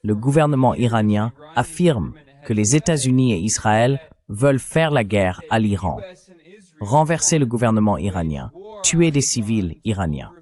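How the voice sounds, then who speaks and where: A man's voice: lowish voice, animated, normal speed, darkish tone. A man delivering political commentary as an analyst, in an emphatic, argumentative way.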